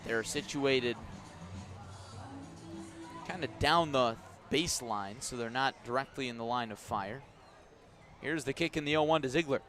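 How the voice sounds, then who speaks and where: An American man doing live radio play-by-play of a baseball game, measured between pitches and emphatic on the action. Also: a bit bright. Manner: measured description rising into emphatic calls on the action. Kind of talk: live radio sports commentary. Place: outdoors at a ballpark.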